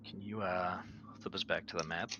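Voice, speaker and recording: A man's voice: fairly even intonation, moderate pace, highs cut off. A man playing a tabletop roleplaying game, talking casually as a player. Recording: noisy mic with background rumble.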